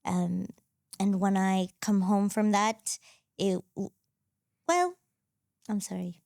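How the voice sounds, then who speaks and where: A woman from Zambia speaks as a guest in a relaxed interview, her voice mid-pitched. She talks reflectively and thinks aloud, with small restarts along the way. The sound is dry and close, with no room echo. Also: slow pace, creaky. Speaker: guest, entrepreneur coach. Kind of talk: conversational interview.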